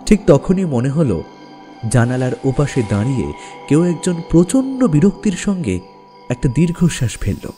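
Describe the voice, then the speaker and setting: A man's voice: medium pitch, mellow. A man narrating a scripted ghost story in a dramatic, suspenseful manner. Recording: long reverb over background music. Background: music.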